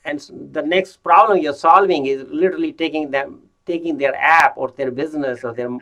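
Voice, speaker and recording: A man conducting a casual interview, speaking haltingly and often repeating his words as he starts a thought. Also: a little high, bright, forceful tone. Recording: dull lo-fi audio.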